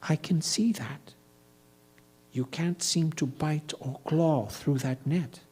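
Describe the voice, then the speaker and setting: Storybook mouse, played by a man: tiny, soft, unhurried, careful and quietly self-possessed. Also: fairly high pitch, slightly rough. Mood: calm, helpful. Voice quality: small, soft voice.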